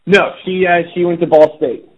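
A man's voice: high voice, fairly even intonation, moderate pace. A man hosting a podcast, interviewing his guest in a friendly, chatty way. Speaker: podcast host. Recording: tinny phone-like line.

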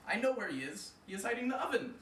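A man's voice: a little fast, a little hiss or hum. A man voicing Anansi, a clever male spider father, in a raised, urgent voice. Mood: frantic. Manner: high, urgent, shouting. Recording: distant roomy mic.

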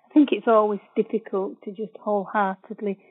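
A woman interviewing a researcher, asking polite, measured questions. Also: medium pitch, flat delivery, slightly rough. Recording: tinny phone line.

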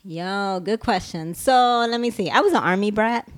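A woman from Puerto Rico, raised in the US, co-hosting a casual podcast chat in a lively, slangy way. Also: medium pitch. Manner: lively, slangy, quick asides. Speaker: podcast co-host.

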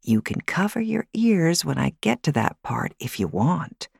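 Woman playing a patient loving grandma in a storybook, gentle, reassuring, takes her time. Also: deep voice, big pitch swings, a little slow, creaky, breathy edge, no echo, clean studio sound, heavily acted. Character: loving, patient older grandmother soothing children. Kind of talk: audiobook character voice.

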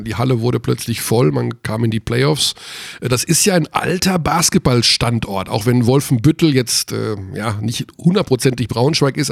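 A man's voice: mid-range voice, creaky, no echo, studio quality. A man hosting a sports podcast interview, chatty and full of filler sounds. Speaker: podcast host.

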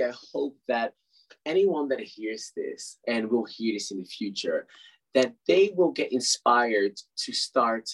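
A man's voice: medium pitch, husky, rough recording. A man, a guest dancer, speaking earnestly and with encouragement.

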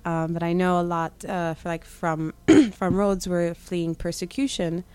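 A woman's voice: fairly low pitch, fairly even intonation, measured pace, darkish tone, clean tone. A young woman from Zimbabwe, a guest on a camp radio station, answering questions with many fillers and restarts. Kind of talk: radio interview.

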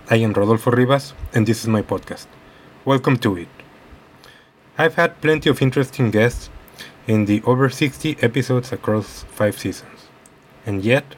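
A man's voice: medium pitch, a little hiss or hum, low-quality recording. A man hosting his own podcast, reading a scripted introduction with an upbeat, promotional delivery. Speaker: podcast host. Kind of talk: scripted podcast intro, then casual interview. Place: office meeting room.

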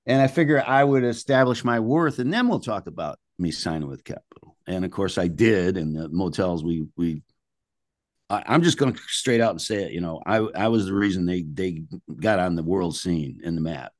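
Deep-voiced older man telling stories, dry sound. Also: normal speed, no echo. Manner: blunt, colloquial, salty. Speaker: musician being interviewed. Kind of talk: casual anecdotal storytelling.

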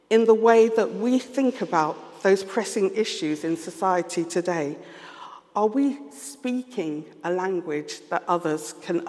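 A man with a London accent preaching a sermon, earnest and measured, pausing often as he reflects. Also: sits high, darkish tone. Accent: London English. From London, England. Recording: long reverb of a large hall.

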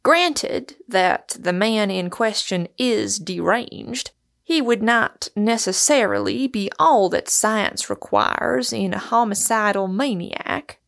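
A refined American man of science, played by a woman reader, pedantic and fastidious. The words come deliberately, with careful halts and some creak, as in a storybook. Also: medium pitch, lively intonation, fairly bright tone, full character voice. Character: refined, pedantic American male doctor, precise and halting.

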